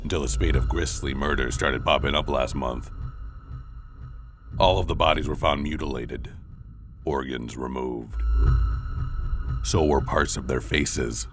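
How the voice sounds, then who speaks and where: A man voicing a grim, brooding masked vigilante who narrates his thoughts tersely in noir comic-book style over music. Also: a bass voice.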